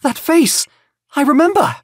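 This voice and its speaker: A man's voice: very high voice, big pitch swings, a little slow, no room sound, clean studio sound, heavily acted. A man playing a haunted young man. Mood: agitated. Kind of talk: audio comic reading.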